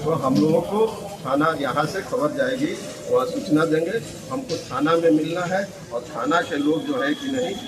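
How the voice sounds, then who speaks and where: A man's voice: on the high side, moderate pace. A man with a Bihari accent giving an insistent, argumentative account to a news reporter, repeating his phrases. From Gaya area, Bihar, India. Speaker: local protest representative. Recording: very noisy, distant field recording.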